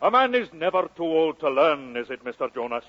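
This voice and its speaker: A boxing man with a fairly high male voice and deliberate, mock-courteous menace. It comes from a muffled vintage radio play. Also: very animated. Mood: mock-polite. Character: courtly, menacing Welsh prizefighter, mock-polite. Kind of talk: 1940s-50s radio drama acting. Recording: dull old radio recording.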